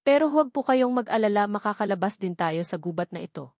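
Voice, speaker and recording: A woman's voice: mid-range voice, animated, fast talker, darkish tone, no echo, lightly acted. Female reader as devoted young maid, polite and reassuring, storybook narration, dry sound. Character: young female servant, respectful, loyal, reassuring.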